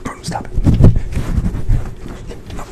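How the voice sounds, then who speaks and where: A man's voice: takes it slowly, heavy background noise. A young man hosting a casual livestream, greeting viewers and playfully scolding his dog in a whispery voice. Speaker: livestream host.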